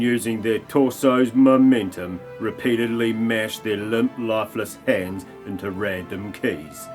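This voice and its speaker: A man giving deadpan, mock-grand narration for a comic parody audio play. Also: on the low side.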